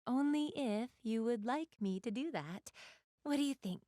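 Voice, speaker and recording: A woman's voice: on the high side, lively intonation, moderate pace, mellow, no room sound, studio quality, natural voice. A woman playing an elegant, doting big-sister goddess in a video game, speaking in polite, formal, refined phrases straight to the listener. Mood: sweet, coy. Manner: polite, formal, refined diction, gushing over what she adores. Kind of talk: video game character acting, addressing the listener.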